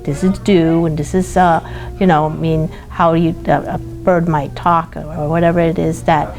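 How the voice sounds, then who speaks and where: A woman speaking as a teacher in an interview, explaining her classroom practice haltingly as she feels for words. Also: low voice, flat delivery, a little mellow, soft background music.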